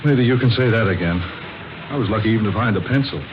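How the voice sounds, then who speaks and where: Man acting low-voiced, slangy down-and-out drifter, old radio. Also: lively intonation, quick pace, natural voice. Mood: wry, resigned. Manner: folksy, slangy, breezy. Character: broke, jobless, friendly wisecracking man sleeping on park bench. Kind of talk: 1940s radio drama acting. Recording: muffled, noisy old radio recording.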